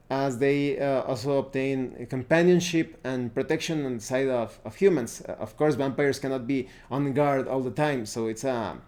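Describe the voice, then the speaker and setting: A man with a soft, mellow voice at a medium pitch talks through a casual review as its host. He explains things informally, pausing to search for words and correcting himself as he goes. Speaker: tabletop game review host. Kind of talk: casual spoken review with recommendations.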